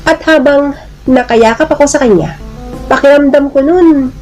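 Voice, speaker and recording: A woman with a fairly high voice tells a dramatic first-person story. She delivers it in a deliberate, emotive way, as in a serial drama. Music plays behind her. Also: darkish tone, poor audio. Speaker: narrator of a listener's letter drama.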